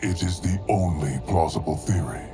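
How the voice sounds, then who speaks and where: A man's voice: low voice, lightly acted. An actor playing a grave, ancient male keeper of time in a game cutscene. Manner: grave, deliberate. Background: orchestral game music.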